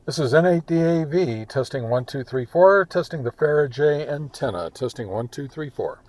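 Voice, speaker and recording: A man giving a casual, informal product review and field test, talking in a halting, conversational way. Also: on the high side, animated, a little mellow. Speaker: ham radio reviewer and vlog host.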